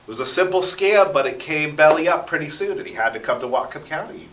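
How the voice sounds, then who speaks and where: A man's voice: on the high side, expressive, quick pace, a bit bright, a little hiss or hum. An American man telling stories conversationally as an interview guest, with dramatic flourishes. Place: echoey radio studio. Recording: roomy echo, distant from microphone.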